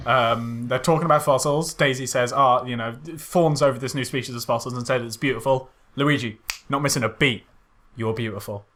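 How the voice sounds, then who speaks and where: A man co-hosting a casual comedy podcast about films, retelling things haltingly with sarcastic asides. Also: medium pitch, fairly even intonation, a bit bright. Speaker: podcast co-host. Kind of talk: casual comedic film critique chat.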